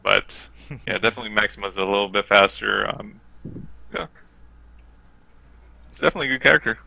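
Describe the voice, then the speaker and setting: Bright, forceful low-voiced man with gravel. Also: brisk, poor audio. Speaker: podcast guest, fighting game player. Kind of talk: casual game analysis.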